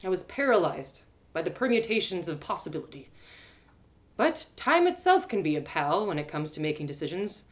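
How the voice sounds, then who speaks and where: A woman narrating her own fantasy audio drama in first person, animated and bubbly, with excited exclamations and hushed asides. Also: on the low side, moderate pace. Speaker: storyteller and host.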